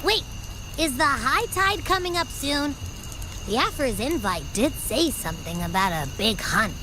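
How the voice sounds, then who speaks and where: A woman's voice: high voice, expressive, unhurried, background noise. A woman playing a carefree, food-loving young companion in a video game, talking in a playful, breezy, casual way. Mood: relaxed, casual.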